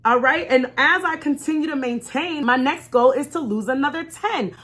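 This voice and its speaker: High-pitched woman giving an emphatic motivational talk. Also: normal speed, a bit bright. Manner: emphatic, repeats phrases, addresses viewers directly as y'all. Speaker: vlogger and life coach. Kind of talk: motivational storytime vlog with faith-based encouragement.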